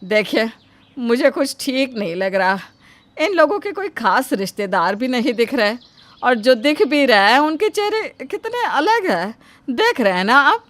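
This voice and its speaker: A woman plays a middle-aged mother of the groom in a story drama. She sounds wary yet coaxing, in a fairly high voice that moves up and down a lot. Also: big pitch swings, a little slow, fairly bright tone, dry recording, exaggerated voice. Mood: suspicious. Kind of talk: dramatized Hindi moral story acting.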